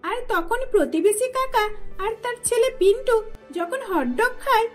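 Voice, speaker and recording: A woman's voice: very high-pitched, animated, normal speed. A woman narrating a scripted cartoon story as the storyteller. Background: faint music.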